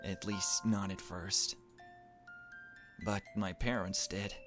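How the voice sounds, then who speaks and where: A man's voice: deep voice, little pitch movement, slow, soft background music. A man reading a visual novel aloud as a gaming host, with joking, irreverent asides. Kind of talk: let's play, dramatic reading mixed with joking commentary.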